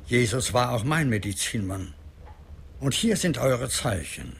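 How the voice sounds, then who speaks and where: An actor playing an old, devout grandfather and medicine man in a German radio drama, solemn, deliberate and plain-spoken. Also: mid-range voice, lively intonation, gravelly, a little hiss or hum. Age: older.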